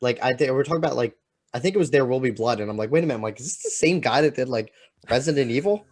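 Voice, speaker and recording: A man co-hosting a podcast in casual, opinionated chat, halting and repeating himself with lots of filler. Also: mid-range voice, lively intonation, darkish tone. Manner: halting, repetitive, peppered with "like". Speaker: podcast co-host.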